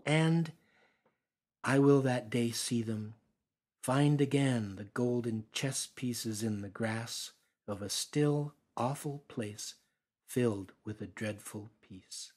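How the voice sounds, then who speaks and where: Slow, measured Canadian man reading poetry. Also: medium pitch, a little mellow. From Ontario, Canada. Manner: deliberate, theatrical phrasing with pauses. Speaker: actor reading poems aloud. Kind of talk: expressive dramatic poetry reading.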